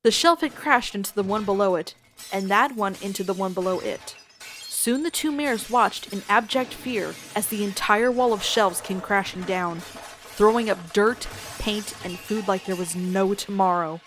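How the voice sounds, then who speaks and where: A woman narrating a scripted story in an expressive storytelling style. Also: mid-range voice, normal speed, a little hiss or hum, low-quality recording. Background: music.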